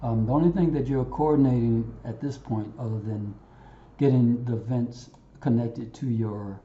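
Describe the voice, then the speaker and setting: A man giving an unscripted software tutorial over a screen recording, haltingly thinking aloud and talking to himself as he works. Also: mid-range voice. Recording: roomy, muffled, audible background noise.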